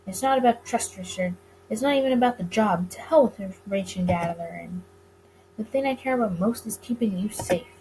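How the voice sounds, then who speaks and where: A woman's voice: mid-range voice, a little fast, warm tone, a little hiss or hum, close to an everyday voice, poor audio. A woman voicing an earnest, protective male police officer in a visual novel, urgent and blunt. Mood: fiercely protective.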